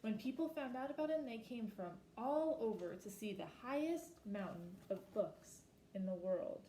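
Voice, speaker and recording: Woman, storybook read-aloud, expressive, slows for emphasis, roomy echo, faint background hiss. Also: a little high. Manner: asks listeners reflective questions. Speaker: storybook reader.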